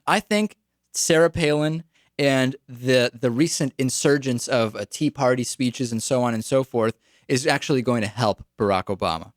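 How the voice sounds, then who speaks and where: A man's voice: medium pitch, normal speed, no room sound, clean studio sound. A man with an American accent giving political commentary as a radio talk show host.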